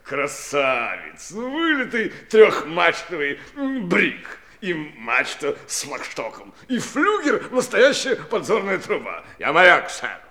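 An actor playing a blustering old British admiral with booming sailor exclamations in a 1960s radio play. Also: male voice, wildly swooping pitch, slow, few pauses, pushed and bright, exaggerated voice. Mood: blustering, proud.

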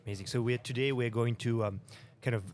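Male podcast host, mid-pitched voice, loose rambling questions, lots of um's. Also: steady tone, quick pace, darkish tone. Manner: rambling questions, many restarts and fillers. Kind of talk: interview hosting. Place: conference forum venue.

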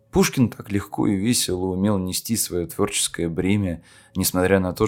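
A man's voice: sits low, a little mellow. A man hosting a podcast, reciting a historical speech in a solemn, declamatory and oratorical manner. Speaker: podcast host reading famous speeches. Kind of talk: dramatic recitation of a historical speech with spoken intro. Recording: music under the voice. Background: music.

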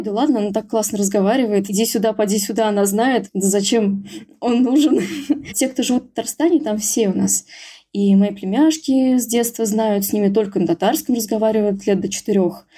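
A young woman with a soft, breathy voice and a mellow, warm tone. She talks candidly and chattily as a podcast guest, telling her story in an easygoing, amused way. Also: mid-range voice. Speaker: podcast guest, Tatar language learner. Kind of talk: casual interview talk.

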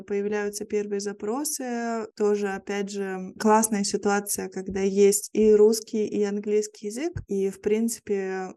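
A woman's voice: mid-range voice, mellow. A woman from Lithuania, a PR specialist and journalist, chatting reflectively as a guest in an interview and searching for words as she goes. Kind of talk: casual interview conversation.

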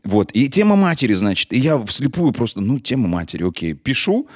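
A man's voice: mid-range voice, fairly quick. A man speaking as an interview guest, telling anecdotes casually, acting out dialogue and dropping slangy asides. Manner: animated retelling with acted-out dialogue and slangy asides.

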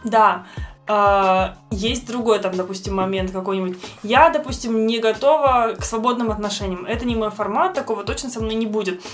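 A woman's voice: medium pitch, moderate pace, faint music behind. A young woman on a casual podcast who argues frankly, gets defensive and swears offhandedly. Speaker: podcast co-host.

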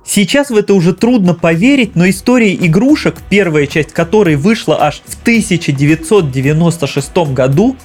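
High-pitched man narrating a video essay. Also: darkish tone, slightly rough. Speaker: film critic hosting a video essay. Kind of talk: scripted narration.

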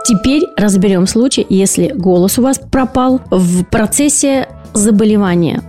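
A woman's voice: medium pitch, a little slow, warm tone, soft background music. A woman speech and voice therapist hosting an educational podcast, explaining patiently and posing rhetorical questions to her listeners.

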